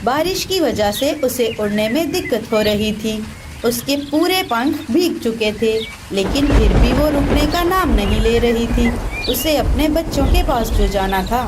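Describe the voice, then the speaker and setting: A woman's voice: on the high side, lively intonation, a little slow. Woman narrator with noisy ambient backing. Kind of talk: scripted children's story narration.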